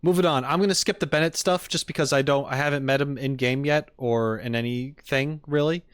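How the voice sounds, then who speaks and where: A male streamer chats casually with his audience in a mid-pitched voice. He rambles and quotes others emphatically, recorded dry and close to the microphone. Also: fairly even intonation, fairly quick, clean tone, dry recording. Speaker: streamer reacting to game videos. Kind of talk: casual chat with viewers, theorizing.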